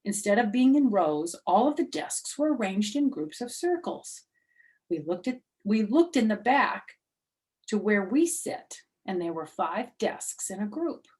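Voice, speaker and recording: Woman as storybook first-grade girl: chatty, excitable, exclamatory. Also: medium pitch, unhurried. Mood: amazed. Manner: exclamatory, childlike phrasing.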